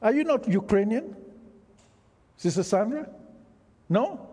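A man preaches in a slow, deliberate cadence, his fairly high voice ringing through a long-reverberating church hall. He keeps drawing the congregation in with questions. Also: expressive, mellow, breathy edge. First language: Isoko. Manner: engaging, quizzes the congregation. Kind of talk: sermon.

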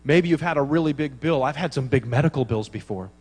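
A man's voice: mid-range voice, quick pace, a little mellow, husky, big-room sound. A man preaching a sermon in church, telling funny stories and acting out the dialogue, laughing at his own jokes. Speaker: pastor.

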